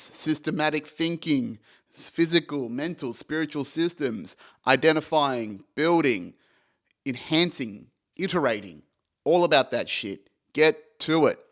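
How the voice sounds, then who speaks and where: A man's voice: medium pitch, fairly bright tone. A man in his thirties hosting a solo podcast, talking in a loose, rambling way, swearing freely and poking fun at himself. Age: 30s. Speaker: podcast host. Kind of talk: casual solo podcast monologue.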